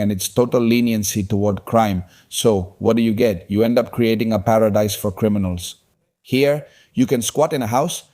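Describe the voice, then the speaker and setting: A man's voice: mid-range voice, a little mellow. A man hosting a podcast interview, making his points in long, opinionated runs. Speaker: podcast host. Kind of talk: conversational interview.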